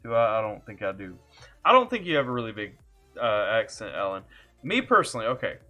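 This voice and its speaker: A man's voice: lowish voice, big pitch swings, fairly quick, pushed and bright, soft background music. A man from Kentucky with a Southern accent, chatting casually on a live stream, laid-back and jokey, greeting viewers by name. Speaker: music reaction streamer. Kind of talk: casual live-stream chat, reading chat aloud. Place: home computer setup.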